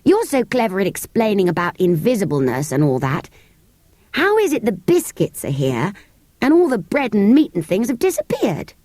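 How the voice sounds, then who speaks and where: A blunt, hungry young British schoolboy in a storybook, voiced by a woman. He is emphatic and easily dejected, with a pitch that swings about. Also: sing-song, normal speed, mellow, dry recording. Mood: challenging.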